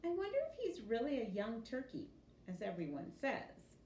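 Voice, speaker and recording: A woman's voice: fairly high pitch, huge pitch swings, moderate pace, darkish tone, voice pushed up. A woman voicing a doubtful mother duck in a storybook, musing aloud over her duckling. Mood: puzzled musing. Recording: echoey room with background noise.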